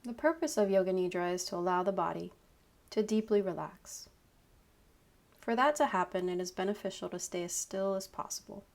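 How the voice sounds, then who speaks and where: A woman leading a guided meditation as a yoga nidra teacher, giving calm, unhurried instructions in short phrases with pauses. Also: mid-range voice, creaky.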